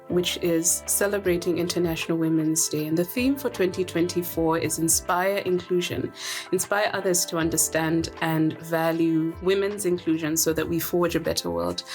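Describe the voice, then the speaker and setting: A woman from Zambia in her thirties hosting a casual podcast chat, friendly and quick to laugh, with faint music behind her. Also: on the low side, a little mellow. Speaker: podcast host.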